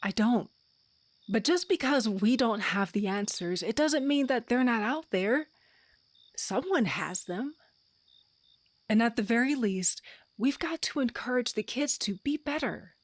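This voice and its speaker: A woman's voice: a little high, lively intonation, unhurried, no room sound. A woman playing an earnest, frustrated wife and mother in an audio drama, pleading and heartfelt.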